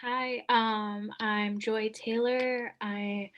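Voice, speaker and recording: Slow, flat-voiced young woman. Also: fairly high pitch, muffled recording. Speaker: student panelist. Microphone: video call.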